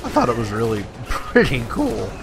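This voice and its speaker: A man's voice: mid-range voice, huge pitch swings, moderate pace, vocal fry, over music. A man giving casual, folksy gameplay commentary, talking to his viewers. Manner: folksy, conversational, addresses viewers. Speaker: let's play host. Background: video game sounds.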